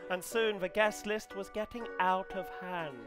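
An English man in his thirties giving a live comedy reading as a writer, in a hesitant, earnest, deadpan manner. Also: high-pitched, unhurried, music in the background. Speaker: comedian reading as an amateur novelist character. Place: small stage set indoors, before an audience. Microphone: headset.

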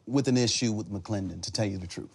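A man's voice: lowish voice, fast talker, vocal fry, slightly rough. A man, a pastor and gospel musician, talking on a reunion-show panel in an emphatic, defensive way, repeating his points.

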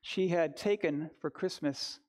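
A man's voice: on the high side, a little slow, a little mellow. An older man preaching as a pastor, telling personal stories in a reflective, conversational way. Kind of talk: informal storytelling sermon. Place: church sanctuary, standing before the altar.

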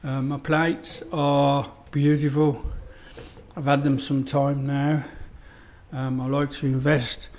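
Slow, mellow-voiced man touring his gym. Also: mid-range voice, little pitch movement, a little hiss or hum. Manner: unhurried, full of fillers, admiring. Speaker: home gym owner giving a tour. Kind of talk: casual walkthrough commentary. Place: small home gym in a garden building, indoors. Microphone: action camera held while walking.

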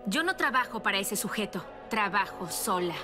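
A woman's voice: mid-range voice, expressive, normal speed, lightly acted. A woman voicing a tough, defiant young treasure hunter in a Latin American Spanish cartoon dub, speaking curtly. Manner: curt, defiant. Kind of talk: animated series dub. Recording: loud music behind.

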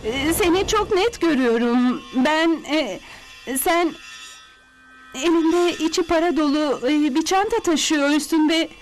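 A high-pitched cartoon fortune-teller peers into the future with uneasy, mystical drama. She pauses and hesitates, with clear music behind her. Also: poor audio. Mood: uneasy, hesitant. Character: female fortune-teller gazing into a crystal ball, uneasy. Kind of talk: cartoon dubbing.

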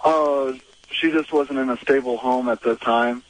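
A man in his twenties calling a radio show on a cell phone, hesitant and halting, with many pauses and uhs. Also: mid-range voice, steady tone, low-quality recording. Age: 20s. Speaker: caller.

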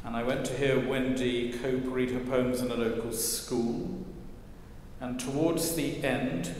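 An older man preaching a sermon from a church lectern, wry and gently humorous as he tells stories. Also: mid-range voice, a little hiss or hum. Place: large stone church, at a lectern. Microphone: lectern mic, far camera. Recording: echoey church with long reverb.

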